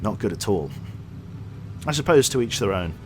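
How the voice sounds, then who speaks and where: A man giving gameplay commentary as a streamer, excitable and casually swearing, his voice going a little hoarse. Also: on the low side, moderate pace, soft background music. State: losing his voice.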